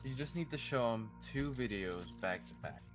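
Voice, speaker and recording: Lively-pitched man joking in game chat. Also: normal speed, over music. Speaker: gaming streamer. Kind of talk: casual joking banter over gaming voice chat.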